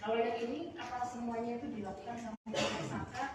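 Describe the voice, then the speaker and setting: Fast-talking distant woman reporter, noisy hall. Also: a little high, fairly even intonation. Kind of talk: informal press conference question. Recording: loud noise, distant, echoing hall.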